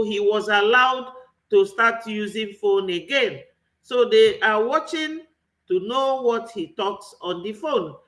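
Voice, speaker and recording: A man's voice: high voice, fairly bright tone, poor audio. A man with a Nigerian accent giving an impassioned political commentary, full of rhetorical questions. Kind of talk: online political commentary monologue.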